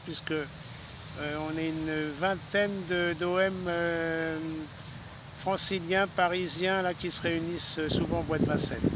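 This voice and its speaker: A Frenchman with a fairly high voice talks on amateur radio from a city garden, with clear outdoor noise around him. His delivery is casual and easygoing, with halting pauses as he hands over the conversation. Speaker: amateur radio operator, channel host. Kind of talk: casual radio contact chat. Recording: noisy outdoor city ambience. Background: urban outdoor noise, traffic.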